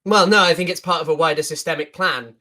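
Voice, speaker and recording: A smug man in a heated online debate, fast and sarcastic. His pitch sits a touch above average. He rushes through his points and cuts in often. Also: fairly even intonation, sharp tone. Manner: smug, sarcastic, talks over the other. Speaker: debate guest, TikToker.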